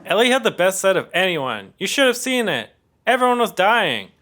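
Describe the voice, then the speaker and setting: A man's voice: high voice, very animated, moderate pace, background noise. A man playing an upbeat, boastful young amateur comic in a sitcom, gushing and self-satisfied. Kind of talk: sitcom acting.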